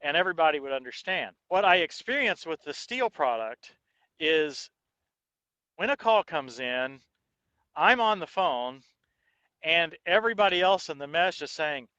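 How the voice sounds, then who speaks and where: Bright-voiced man giving a product review. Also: on the high side, lively intonation, normal speed, no room sound, muffled recording. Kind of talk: casual explanatory product review. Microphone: intercom headset microphone.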